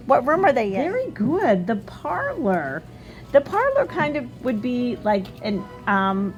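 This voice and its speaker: A woman reading a storybook aloud like a teacher, expressive and instructive, pausing to put questions to young listeners. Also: a little high, dull audio.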